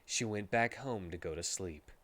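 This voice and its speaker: A man's voice: fairly low pitch, moderate pace, fairly bright tone, dry recording. A man reading a story aloud in a mock-dramatic narrating style, as a streamer.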